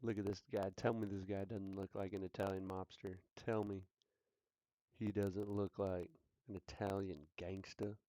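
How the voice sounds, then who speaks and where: A man's voice: low voice, mellow, no echo. A man hosting a casual sports talk show, joking and hyped, repeating his lines for effect. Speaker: sports podcast host.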